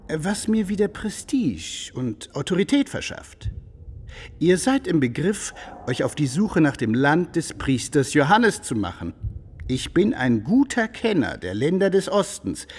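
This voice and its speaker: An actor playing a wily, ingratiating medieval lord in a radio drama, speaking in a courtly, insinuating, theatrical way. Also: male voice, on the high side, breathy edge, exaggerated voice. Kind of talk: German radio drama acting. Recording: long reverberant hall.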